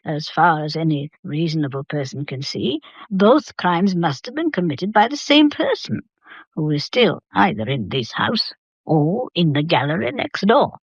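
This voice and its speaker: A woman playing a stubborn, inquisitive old English matriarch in an audio drama, speaking formally and deliberately. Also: sits low, big pitch swings, breathy edge, no room sound, muffled recording, heavily acted. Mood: deliberate, pondering. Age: older.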